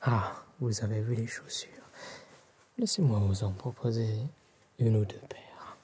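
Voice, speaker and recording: A man with a French accent whispers softly and breathily in an ASMR roleplay, addressing the listener politely and formally as an attentive tailor, close to the microphone. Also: lowish voice, steady tone, unhurried, a little hiss or hum. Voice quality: soft, breathy whispering and hushed speech.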